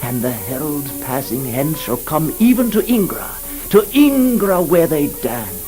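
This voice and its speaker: Old romantic king, slow lyrical declamation with wide swoops, poetic radio play. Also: a little high, big pitch swings, warm tone, faint music behind. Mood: impassioned, yearning. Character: ardent old king recounting a dream of lost love. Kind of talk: 1960s poetic radio drama acting.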